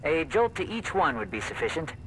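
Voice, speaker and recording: An encouraging, technically minded crew scientist in a sci-fi video game, giving instructions in a mid-pitched voice. Very loud effects and machinery noise surround him. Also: male voice, big pitch swings, brisk. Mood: instructive.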